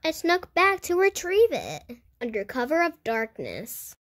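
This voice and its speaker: Female, children's read-aloud narrator, high voice, swooping intonation, unhurried storytelling. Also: wildly swooping pitch, a bit bright. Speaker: children's storybook reader and host.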